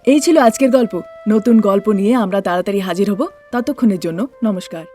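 A woman's voice: medium pitch, brisk, warm tone, soft background music. A woman narrator telling a scripted children's story.

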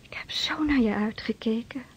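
Very breathy yearning sweetheart. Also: female voice, fairly high pitch, normal speed. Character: yearning, playful young sailor's woman. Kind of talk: Dutch radio drama acting. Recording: dull radio play recording.

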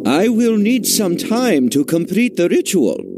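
Game casting: meek old monk, deferential, hugely swooping intonation, slow delivery. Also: male voice, high-pitched, very animated. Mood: obliging. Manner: pleading, deferential, drawn-out delivery. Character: frightened elderly male monk, meek and obliging. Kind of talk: video game cutscene acting. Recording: background music.

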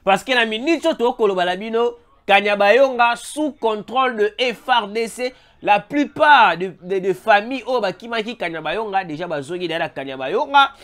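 Voice-over news commentator, Congolese French accent, stuffy with a cold, animated, keeps calling out to the audience. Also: mid-range voice, moderate pace, few pauses. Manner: animated, repeatedly calls out to viewers, mixes in Lingala. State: has a head cold. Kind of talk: emphatic news commentary to viewers. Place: voice-over over a still image.

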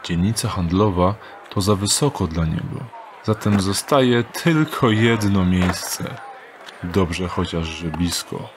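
A slow, low-voiced wry thief. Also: male voice, noisy background. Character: wry, unhurried male master thief. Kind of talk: audio drama acting.

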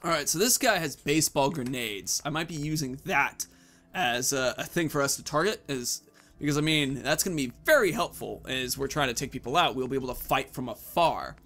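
A man's voice: mid-range voice, a little fast, sharp tone. A man in his thirties giving casual let's play commentary, thinking aloud as he explains his choices. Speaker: gaming video host. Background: game music.

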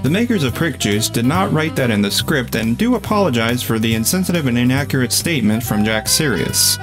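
A man playing a formal, deadpan corporate announcer reading a disclaimer in a parody ad. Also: medium pitch, fairly quick, over music, lightly acted.

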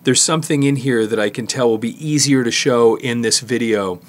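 A middle-aged man giving a casual hands-on tutorial, explaining in a halting way as he works with his hands. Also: medium pitch. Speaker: presenter demonstrating cassette disassembly.

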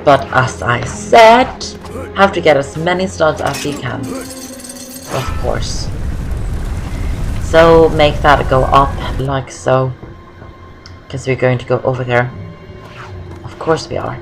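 A young woman talks through a video game. She is chatty and rambling, often repeating herself, with music playing clearly behind her. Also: very deep voice, expressive, slow, long pauses, low-quality recording. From Norway. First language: Norwegian. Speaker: gaming video host. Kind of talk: casual game walkthrough commentary.

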